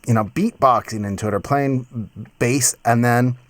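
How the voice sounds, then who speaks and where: A man in his thirties giving a casual, enthusiastic music software tutorial in a conversational way, close on a mic in a home studio. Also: medium pitch, normal speed, heavy background noise. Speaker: music production tutorial host. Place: small home studio, indoors.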